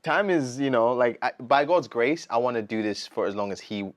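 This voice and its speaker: A man's voice: medium pitch, normal speed, no room sound. A British man of thirty, a gospel artist talking earnestly and casually in an interview, full of slang. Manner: earnest, animated, slangy, says bro a lot.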